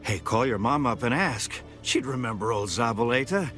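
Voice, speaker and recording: An actor playing a middle-aged retired soldier, down on his luck, who begs in a halting, stammering way. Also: medium pitch, a bit bright, faint music behind, close to an everyday voice. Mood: wheedling.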